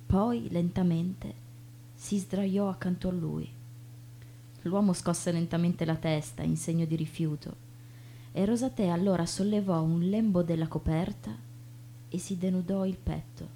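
A woman giving a dramatic literary reading on stage. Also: on the low side. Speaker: reader in a stage show. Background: soft guitar music.

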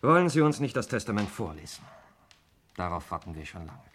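A man's voice: lowish voice, big pitch swings, brisk, a bit bright, breathy edge, heavily acted. A man playing a sceptical nephew in a 1960s radio crime drama, putting pointed, probing questions. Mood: challenging. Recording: old radio recording with faint noise.

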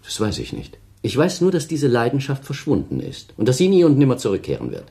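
A haughty gravelly-voiced cold lover. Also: male voice, mid-range voice, huge pitch swings, brisk, warm tone, exaggerated voice. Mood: cold, unmoved. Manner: haughty, theatrical, dismissive. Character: cold, self-pitying thirty-year-old man, callous scornful former lover. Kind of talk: German radio play acting.

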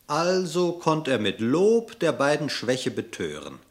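A man narrating a classic verse fable in a radio play, measured and expressive. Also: a little high. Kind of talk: scripted storytelling narration in verse.

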